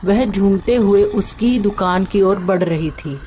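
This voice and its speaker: A woman's voice: medium pitch, a little slow, warm tone, slight background noise. A woman reading a scripted story as its narrator.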